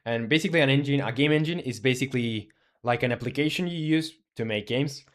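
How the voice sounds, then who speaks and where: A man's voice: medium pitch, quick pace. A man in his twenties chatting casually on a live stream as a game developer, rambling and thinking aloud with many fillers while answering chat questions. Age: 20s.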